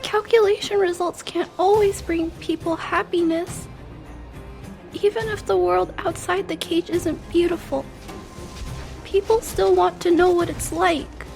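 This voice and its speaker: A woman voicing a gentle, kindhearted little girl in a game, hesitant, earnest and polite. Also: very high-pitched, fairly even intonation, a little slow, gravelly, soft background music, full character voice. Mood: tender, earnest. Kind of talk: video game cutscene acting.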